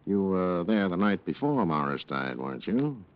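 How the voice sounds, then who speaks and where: An actor playing a dry, probing police lieutenant in 1940s radio noir style, deliberate and understated. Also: male voice, low voice, big pitch swings. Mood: quietly accusing. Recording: dull vintage radio recording.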